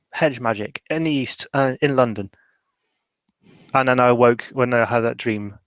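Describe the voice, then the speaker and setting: A man playing a tormented, bitter mage in an improvised tabletop roleplay, speaking haltingly and emotionally, full of regret. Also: mid-range voice, a bit bright, clear, resonant tone, no echo. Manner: halting, emotional, swearing, trailing off mid-sentence.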